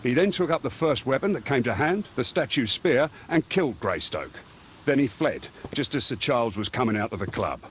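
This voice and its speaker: An actor playing a confident, skeptical Victorian police inspector in a video game, British, explaining things in an assured way. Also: male voice, medium pitch, expressive, moderate pace, fairly bright tone, slight background noise, lightly acted. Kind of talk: scripted video game acting.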